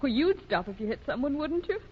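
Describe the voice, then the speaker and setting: An actress playing a worried, grieving young wife with bitterness, speaking haltingly and plaintively in 1930s radio drama style. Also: female voice, sits high, sing-song, slight background noise. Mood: bitter. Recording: muffled, lo-fi 1930s radio recording.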